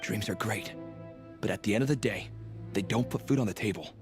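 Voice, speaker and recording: A man's voice: fairly low pitch, a little fast, music in the background, close to an everyday voice. A man voicing a stern, worried middle-aged father in a video game, firm and admonishing. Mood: apologetic but firm.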